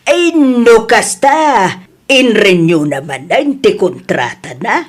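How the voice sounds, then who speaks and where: A woman with an Ilocano accent acting as an expressive, easily scandalised older mother in an audio drama. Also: mid-range voice, slow. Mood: dismayed. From Philippines.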